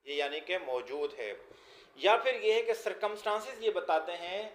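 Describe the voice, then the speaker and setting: A man's voice: high voice, expressive, normal speed, a bit bright. A man with a Pakistani accent giving an exam lesson as a law lecturer, reading items out and then explaining them by repeating and rephrasing. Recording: echoey, roomy sound.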